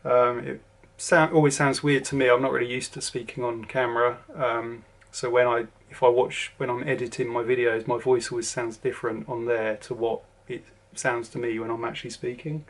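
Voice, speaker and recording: A man's voice: medium pitch, flat delivery, normal speed. A man in his forties from southern England with a southern English accent, giving a casual, rambling to-camera vlog update with lots of ums and restarts. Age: 40s. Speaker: vlogger.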